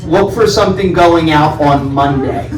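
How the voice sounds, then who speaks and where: A man with a loud voice hosting a community town hall, friendly and joking with residents by name. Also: fairly high pitch, measured pace, over music. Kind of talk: casual town hall talk. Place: large meeting room indoors. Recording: echoey room, distant mic.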